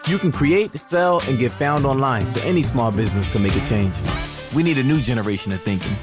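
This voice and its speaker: A man's voice: mid-range voice, expressive, a little fast. Male ad announcer over guitar music. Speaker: commercial announcer. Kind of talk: scripted ad voice-over.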